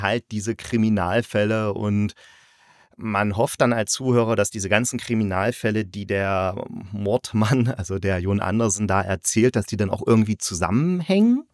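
A man's voice: lowish voice, no echo, clean studio sound. A man chatting casually and colloquially as the host of a review podcast. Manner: colloquial, conversational. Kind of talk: casual review chat about an audio drama.

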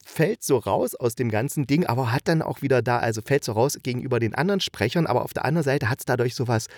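Male review podcast host, mid-pitched, enthusiastic and colloquial, loose rambling delivery, dry recording. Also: expressive, quick pace, a little mellow, no echo. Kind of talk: casual chatty review monologue.

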